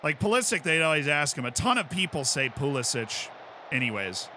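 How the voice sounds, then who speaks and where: An American man streaming casually while he plays, explaining things in an animated, rambling way and often checking in with "right?". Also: on the high side, fairly quick, background noise. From United States. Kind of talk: casual chat while playing.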